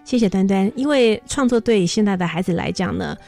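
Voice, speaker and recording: A woman's voice: mid-range voice, brisk, gravelly. A woman with a Taiwanese Mandarin accent, a music teacher explaining things point by point as an interview guest. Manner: explains point by point with softening particles. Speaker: high school music teacher, radio guest.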